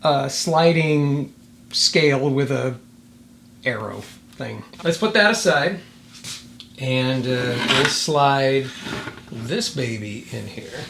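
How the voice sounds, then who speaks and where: A man giving a casual hands-on product demonstration, thinking aloud in a halting way. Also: mid-range voice, expressive, vocal fry, a bit bright, a little hiss or hum. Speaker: product reviewer.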